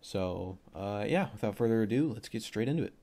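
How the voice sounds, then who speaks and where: A young man with a cold and a voice deeper than usual, casually rambling through a football film breakdown with plenty of fillers. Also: expressive, a little fast, dark tone. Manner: rambling, offhand, thinks aloud with many fillers.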